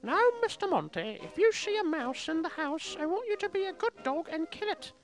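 Brief: man playing a prim lady of the house, very high voice, bossing the dog, music under. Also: big pitch swings, fairly quick, heavily acted, rough recording. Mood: instructing. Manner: instructing, talking to a pet.

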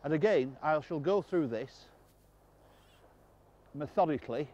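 An older man presenting a fly fishing lesson on a riverbank, explaining calmly with quiet enthusiasm, recorded on a clip-on mic. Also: a little high, measured pace, lo-fi sound. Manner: calm, explanatory, enthusiastic about the fish. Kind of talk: outdoor instructional presenting. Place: outdoors on a river bank.

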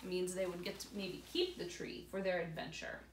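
A woman's voice: fairly low pitch, steady tone, fairly quick. A middle-aged woman reading a picture book aloud and explaining it to young students as their teacher. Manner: explanatory, addressing young children. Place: indoor room at home, by glass door. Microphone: camera a few feet away. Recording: roomy echo, faint room noise.

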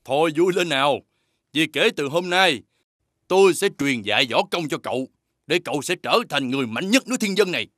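Casting: a sly talking gecko in a cartoon, insinuating mentor, highly animated delivery, raised pitch, music underneath. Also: male voice, fairly quick, no echo, studio quality. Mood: coaxing, encouraging. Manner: insinuating, coaxing, with theatrical emphasis. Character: sly talking gecko, male, scheming, goading martial mentor. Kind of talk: animated fairy tale voice acting.

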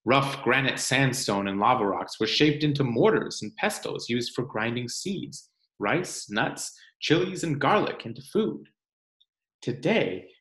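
A man reads a picture book aloud in an expressive, deliberate, teacherly way. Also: medium pitch. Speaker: children's read-aloud presenter. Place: indoor room at home. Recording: roomy home webcam sound.

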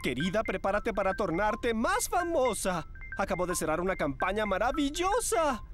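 A woman voicing a flamboyant, fussy, excitable male modeling agent in a cartoon, theatrical and gushing. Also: mid-range voice, moderate pace, music in the background, close to an everyday voice. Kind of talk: animated cartoon dub acting.

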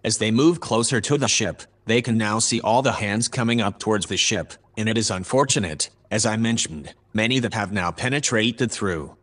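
An actor playing a composed analyst who reports events in a measured, formal way in a sci-fi audio drama. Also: male voice, on the low side, fairly bright tone. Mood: measured, grave. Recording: clear background noise and ambience.

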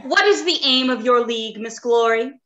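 A woman's voice: on the high side, lively intonation, moderate pace, fairly bright tone, dull audio. A woman acting a clinical, unfeeling robot scientist in an old-time radio drama, precise and matter-of-fact. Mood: dismissive.

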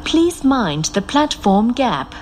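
A woman making scripted train announcements in a formal, clearly enunciated, measured manner. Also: fairly high pitch, big pitch swings, a little hiss or hum. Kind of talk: scripted recorded transit announcements. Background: loud moving train ambience.

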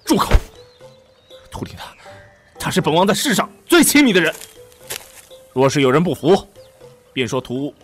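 A man's voice: on the high side, big pitch swings, normal speed, pauses often, soft background music. Man playing a hammy, wildly swooping prince. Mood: indignant. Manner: melodramatic, hammy, stammering when flustered. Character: young lecherous prince, secretly another soul, theatrically grieving and scheming. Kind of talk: Chinese costume audio drama acting.

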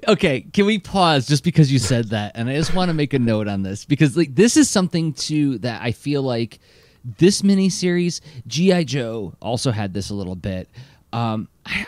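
A man co-hosting a podcast, talking in a lively, rambling way packed with fillers. His voice sits at an ordinary middle pitch. The sound is dry and close to the mic. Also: no echo. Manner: animated, lots of fillers. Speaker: podcast co-host. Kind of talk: casual chat.

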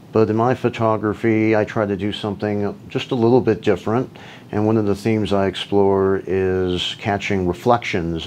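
A middle-aged man speaking in his office on a lapel mic, giving measured award acceptance remarks with frequent filler pauses. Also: fairly low pitch, a little mellow, slight background noise. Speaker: lawyer and award-winning photographer. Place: office with framed picture behind.

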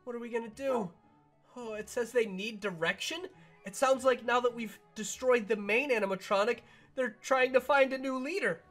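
A woman playing an excitable, boastful animatronic fox in an improvised game roleplay, talking in giggly exclamations. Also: fairly high pitch, moderate pace, faint music behind, lightly acted. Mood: worried, reasoning.

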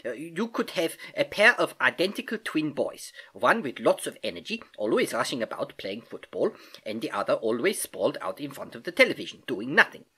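A storybook narrator voicing an elderly, jovial uncle who eagerly and patiently explains science, with animated exclamations and hesitations. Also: medium pitch, brisk, hardly any pauses, breathy edge, pitched above the performer's own voice, full character voice. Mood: eager explaining. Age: older.

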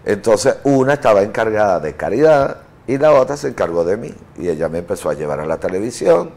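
A man's voice: lowish voice, big pitch swings, fairly quick, gravelly, a little mellow. A man in his fifties, born in Italy, speaking as a guest in a TV studio on a lapel mic, telling stories in a loose, rambling way full of fillers. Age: 50s. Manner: rambling storyteller, chuckling, with fillers. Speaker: interview guest. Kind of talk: casual chat.

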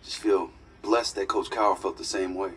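A man's voice: deep voice, level tone, moderate pace, a bit bright, far from the mic, slight background noise. A man playing a sincere, grateful young pro quarterback in a dramatic sports game. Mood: earnest. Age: young adult. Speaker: quarterback character in a story mode. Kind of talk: naturalistic dramatic game acting.

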